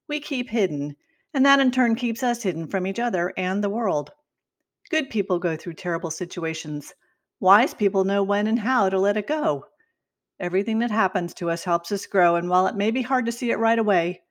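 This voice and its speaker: A woman hosting a talk show, opening with a scripted intro and then welcoming her guest in an upbeat, emphatic way. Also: mid-range voice. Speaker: host.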